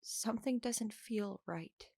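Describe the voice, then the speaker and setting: A woman's voice: fairly high pitch, slow pace, gravelly, mellow, no room sound, clean studio sound, full character voice. A woman playing a confused, frightened captive in an audio drama, hesitant and full of questions. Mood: bewildered.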